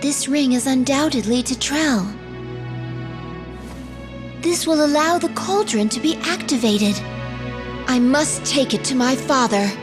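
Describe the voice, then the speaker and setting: An actress playing a proud, dutiful young warrior princess with formal, earnest delivery in a video game drama. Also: female voice, sits high, expressive, measured pace, pauses often, over music. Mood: solemn.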